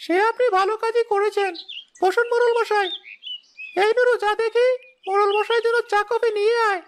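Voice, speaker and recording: A woman's voice: very high voice, fairly even intonation, moderate pace, a little hiss or hum. A woman voicing an eager, respectful young village shop seller in a cartoon. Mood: eager, deferential. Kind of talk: high-pitched cartoon dubbing.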